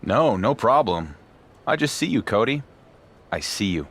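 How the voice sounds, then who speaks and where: An actor playing a proud, sarcastic wrestling champion with a dry manner in a video game cutscene. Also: male voice, on the low side, sing-song, measured pace, fairly bright tone, slightly rough, full character voice. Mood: resentful. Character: wry, proud male pro wrestling champion.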